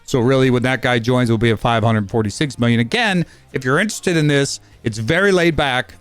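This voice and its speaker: A man in his fifties giving a rambling, casual update on his gaming channel, talking fast with frequent fillers and restarts. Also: mid-range voice, clear, resonant tone, dry recording, soft background music. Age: 50s.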